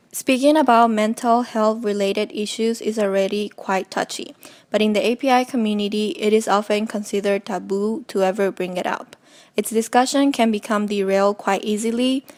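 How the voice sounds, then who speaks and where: A woman reading a scripted documentary narration in a clear, informative way. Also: mid-range voice, darkish tone, no room sound.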